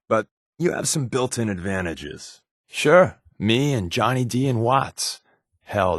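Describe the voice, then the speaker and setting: A man's voice: lowish voice, slightly rough, no room sound, studio quality. A man with an American accent playing a wry, evasive spy companion in a video game, talking conversationally with hesitant asides.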